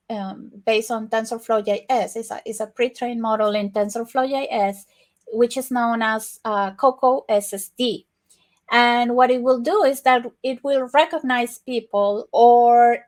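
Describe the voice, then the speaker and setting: A woman from Venezuela with a Venezuelan Spanish accent, giving an informal tech workshop presentation at an online conference. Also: on the high side.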